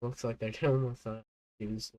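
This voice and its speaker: A man talks his way through live storm coverage, stopping and restarting often, his nose stuffed up from a cold. His tone is mellow and dark, held in a narrow, flat pitch. The sound is dry and close, with no room around it. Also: dry recording. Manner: halting, many fillers and restarts. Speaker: livestream weather host. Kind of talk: unscripted live weather commentary.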